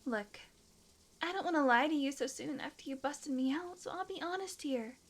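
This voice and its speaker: A woman's voice: high-pitched, moderate pace. A woman acting a sly, sarcastic, flirtatious female vampire in an intimate audio roleplay, teasing and sometimes dropping into a murmur. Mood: sardonic, teasing.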